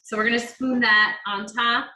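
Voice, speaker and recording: Bright, upbeat woman walking through a recipe. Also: fairly high pitch, fairly even intonation, moderate pace, reverberant. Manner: upbeat, instructional, chatty. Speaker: guest demonstrating a recipe. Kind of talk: cooking demo chat on a video call.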